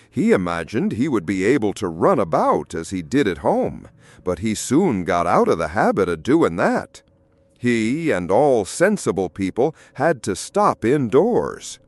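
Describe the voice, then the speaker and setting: A man narrating a fairy tale audiobook in an expressive, deliberate storytelling manner. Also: on the low side, no room sound.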